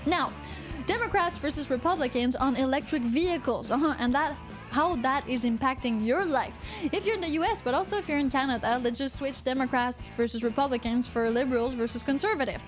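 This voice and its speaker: A woman, co-hosting a casual podcast, chatty and quick to laugh while reading out viewer comments. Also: sits high, soft background music. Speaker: podcast co-host. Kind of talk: casual livestream podcast chat. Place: Vancouver, Canada.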